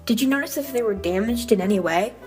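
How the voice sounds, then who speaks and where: A woman voicing an earnest, determined robot heroine in an amateur comic dub, narrating in a reflective, measured way. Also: on the high side, expressive, natural voice. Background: clear music bed.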